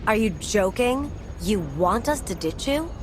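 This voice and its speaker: A woman voicing a feisty, loyal crew member in a space opera game, over clear vehicle-like noise. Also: mid-range voice, expressive, a little slow, natural voice. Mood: incredulous, reluctant. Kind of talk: video game voice acting.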